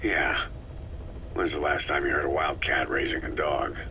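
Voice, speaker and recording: An actor playing a gruff, wry veteran soldier in a video game, laconic and understated. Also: male voice, very deep voice, little pitch movement, normal speed, pushed and bright, slight background noise. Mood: dry, skeptical. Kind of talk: video game voice acting, dialogue.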